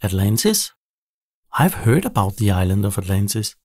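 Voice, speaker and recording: A man's voice: fairly low pitch, very animated, moderate pace, a little mellow, no echo, studio quality. A man voicing a cheerful, confident male cartoon-animal inventor and adventurer in a storybook, upbeat in manner. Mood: curious.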